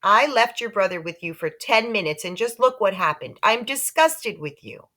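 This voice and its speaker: A woman plays an anxious, doting mother in a children's storybook. She is emphatic and fussing, with a bright voice that swings widely in pitch. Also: lively intonation, normal speed. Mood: angry scolding. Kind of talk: children's storybook read aloud.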